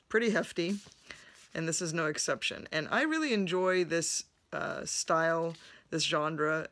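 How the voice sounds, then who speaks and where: A woman from Lebanon hosting a book review vlog from her home library, speaking in a thoughtful, measured way with frequent pauses. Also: low voice, fairly bright tone. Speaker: host.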